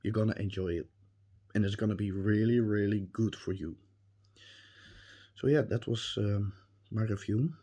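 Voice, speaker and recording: A man with a Dutch accent, a native Dutch speaker, giving a hesitant, earnest spoken testimonial with many pauses and restarts. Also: fairly low pitch, fairly even intonation, mellow. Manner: earnest and emphatic. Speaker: reviewer.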